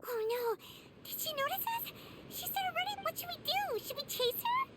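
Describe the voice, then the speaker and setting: Squeaky, excitable little fairy girl. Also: normal speed, noisy background. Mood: worried, excited. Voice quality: squeaky, childlike. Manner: exclamatory, refers to herself in third person. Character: small excitable childlike fairy companion girl, chatty, curious. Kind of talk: anime-style video game voice acting.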